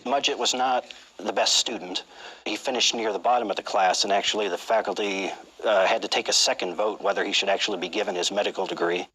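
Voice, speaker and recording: Bright, low-pitched male interviewee. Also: steady tone, fast talker, slight background noise, low-quality recording. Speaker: interviewed history expert. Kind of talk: informal explanatory documentary interview.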